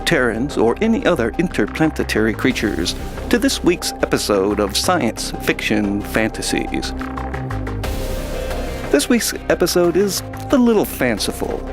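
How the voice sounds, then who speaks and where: A man's voice: medium pitch, sing-song, a little slow, music in the background. A man hosting and narrating scripted fantasy stories. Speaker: host and narrator.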